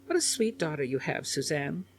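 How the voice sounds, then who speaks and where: A man's voice: a little high, very animated, moderate pace, slight background noise, voice pushed up. A man voicing an elderly, kindly and dignified woman with a gracious, formal manner in an audiobook.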